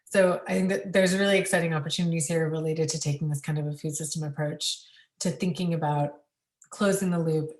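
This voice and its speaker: A woman speaking on a panel about food systems, thoughtful and full of pauses and ums. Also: deep voice, steady tone, roomy sound, distant mic. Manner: thoughtful, many ums and restarts. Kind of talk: webinar panel talk.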